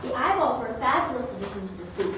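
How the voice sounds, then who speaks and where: A woman's voice: a little high, lively intonation, fast talker. A woman narrating a scripted story in reader's theater style. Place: large echoey room, recorded from afar. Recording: very echoey room, distant mic, background noise.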